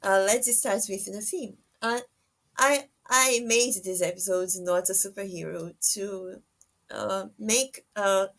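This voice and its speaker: A woman hosting a casual podcast interview, halting often as she searches for her words. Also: medium pitch, a bit bright.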